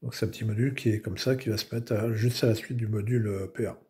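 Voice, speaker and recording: A hoarse man casually showing his homemade radio modules, talking with many fillers and restarts. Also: fairly low pitch, steady tone, fast, a little mellow. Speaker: amateur radio builder. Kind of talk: casual technical show-and-tell.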